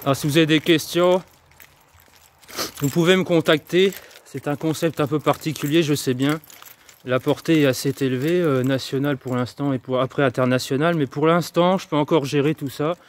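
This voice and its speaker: A man's voice: a little high, steady tone, brisk. A man from France chatting casually to camera while walking outdoors, rambling and upbeat about personal development. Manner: chatty, rambling, self-promoting, laughs at himself. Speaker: YouTuber and personal development coach. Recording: faint street noise and jangling keys.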